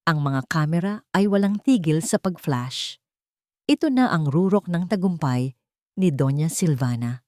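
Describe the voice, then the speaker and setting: A Filipino woman narrates a scripted drama in a lower-pitched voice. The recording is dry and close, with little room sound. Her delivery is that of a dramatic storyteller. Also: a little mellow, no echo.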